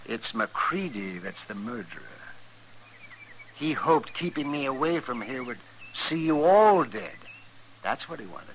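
A weary older frontier doctor for a 1950s radio western. He is grave and emphatic, with a tense, sharp edge. The old broadcast recording carries faint noise. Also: medium pitch, very animated, measured pace, lo-fi sound. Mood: bitter, accusing.

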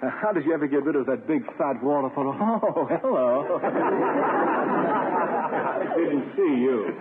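An actor playing a smug doctor, an injured rival in love, in a 1950s radio comedy. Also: male voice, a little high, very animated, slow, over a noisy crowd. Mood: sheepish. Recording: very noisy, narrow-band 1950s radio recording.

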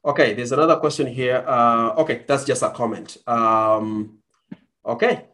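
A man's voice: mid-range voice, moderate pace, sharp tone. Earnest Kenyan-accented man on a call. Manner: earnest, with frequent fillers and restarts. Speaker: guest entrepreneur. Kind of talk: conversational storytelling.

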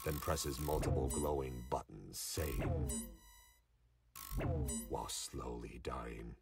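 A man's voice: extremely low pitch, slow pace, loud music behind the voice. A man narrating a scripted audio drama, recorded at some distance from the microphone with noise behind him. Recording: distant mic with background noise.

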